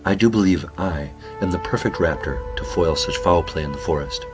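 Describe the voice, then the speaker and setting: A man voicing a serene, yoga-loving hawk with measured, formal speech in a children's audio drama. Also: low-pitched, over music, close to an everyday voice. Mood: serene, solemn.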